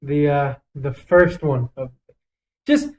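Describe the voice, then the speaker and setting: Blunt, high-pitched man chatting on a podcast. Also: slow pace. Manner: blunt, foul-mouthed. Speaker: podcast co-host. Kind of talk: casual podcast chat, ranking list.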